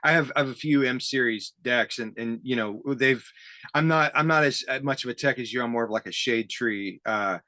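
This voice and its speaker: A man hosting a casual conversational interview, speaking haltingly with a self-deprecating, enthusiastic manner. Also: medium pitch, bright, forceful tone, no echo. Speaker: interview host. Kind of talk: casual conversational interview about tape gear.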